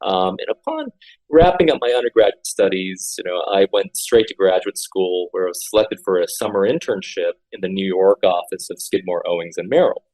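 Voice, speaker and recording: Earnest man telling his story, low voice. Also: expressive, a little fast, a little mellow, rough recording. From Honolulu, Hawaii. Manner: earnest, frequent fillers and repeated words. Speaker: guest architect. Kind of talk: conversational interview.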